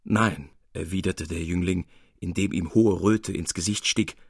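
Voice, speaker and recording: A man's voice: deep voice, fairly even intonation, moderate pace, creaky, a little mellow, breathy edge, no echo, studio quality. A man reading a literary story aloud as a scripted audiobook narration.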